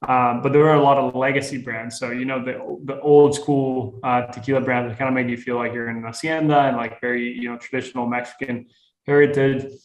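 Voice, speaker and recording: Young man with a flat, even voice in an echoey room. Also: mid-range voice, fairly quick. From Costa Rica. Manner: relaxed, rambling with many fillers and restarts. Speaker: guest, tequila brand founder. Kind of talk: casual interview storytelling.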